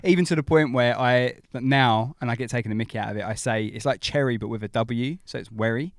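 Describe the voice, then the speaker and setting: A man's voice: mid-range voice, quick pace, no echo, clean studio sound. A man, co-hosting a podcast in casual, bantering, mock-pedantic chat. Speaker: podcast co-host. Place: podcast studio.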